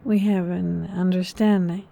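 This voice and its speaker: An actress playing a seductive, scheming married hostess, coaxing and deliberate, in a horror audio drama. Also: female voice, on the low side, little pitch movement, creaky, mellow. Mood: coolly seductive.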